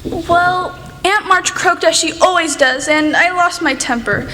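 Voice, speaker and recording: A woman's voice: very high-pitched, measured pace, pushed and bright, heavily acted. A young woman playing a headstrong, impulsive young writer in a radio play, with an emphatic, dramatic delivery. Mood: defiant, breezy. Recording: echoey hall with long reverb, noisy.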